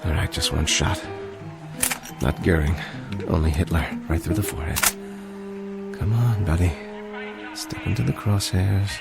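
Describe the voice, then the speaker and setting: A man's voice: low-pitched, measured pace, gravelly, long pauses. A man playing an earnest, intense American with a clipped, guarded way of speaking and an American accent, in vintage television drama style. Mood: tense, focused. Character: earnest, urgent American man carrying a grave warning. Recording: old TV soundtrack with music. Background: dramatic music.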